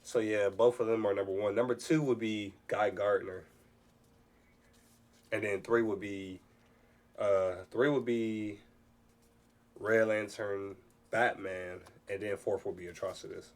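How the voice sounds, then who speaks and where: Male host, lowish voice, loose unscripted fan chat, thinks aloud and corrects himself. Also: fairly even intonation, unhurried, long pauses. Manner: hesitant, self-correcting, informal. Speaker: host of a read-along reaction. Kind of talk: casual unscripted commentary.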